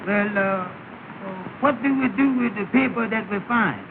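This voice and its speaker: A man's voice: high voice, moderate pace. An actor playing a nervous, jittery male lodge member who keeps asking anxious, stammering questions in a 1930s film comedy. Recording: tinny, hissy 1930 film soundtrack.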